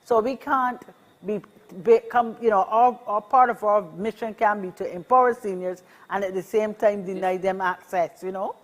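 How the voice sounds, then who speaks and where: A woman's voice: medium pitch, fairly even intonation, normal speed. A woman in her sixties with a Barbadian accent, speaking in an encouraging, upbeat way as an interview guest and often repeating her key phrases. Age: 60s. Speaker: senior advocacy organization representative. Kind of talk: casual interview conversation.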